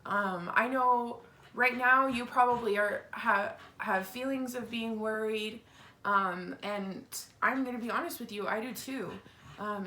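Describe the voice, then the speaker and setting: A woman teacher reading a picture book aloud to children, expressively, with casual explanatory asides. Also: medium pitch. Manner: expressive, explains to young listeners. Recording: roomy, echoey home recording.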